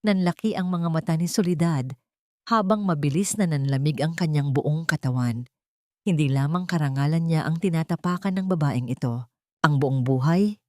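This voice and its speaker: A woman's voice: low-pitched, dark tone, no echo, studio quality. A woman storyteller reading a scripted dramatic narration.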